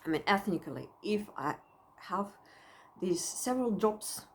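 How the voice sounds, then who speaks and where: Slow-spoken Russian-accented woman psychologist. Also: mid-range voice, expressive, slight background noise. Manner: thoughtful, halting, with frequent pauses and restarts. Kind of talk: personal reflection to camera. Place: at home.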